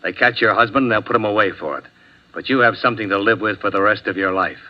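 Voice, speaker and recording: An actor playing a blunt, wry insurance investigator who presses people tersely, in 1950s radio drama style. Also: male voice, on the low side, quick pace, lightly acted. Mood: accusing. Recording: dull old radio recording.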